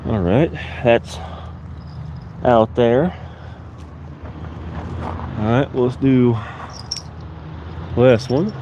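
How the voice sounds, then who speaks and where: A man's voice: medium pitch, extremely slow, long pauses, muffled recording, slight background noise. A man with a stuffy, congested voice from a cold, chatting casually to viewers while fishing outdoors. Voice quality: stuffy, nasal. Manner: relaxed, chatty, talks to viewers while working. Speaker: fishing vlogger. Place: outdoors by the water.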